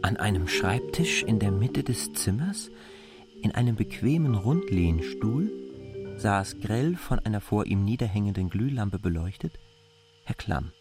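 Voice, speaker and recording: A man's voice: fairly low pitch, big pitch swings, measured pace. A man narrating literary fiction from a script in an audio drama. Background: music.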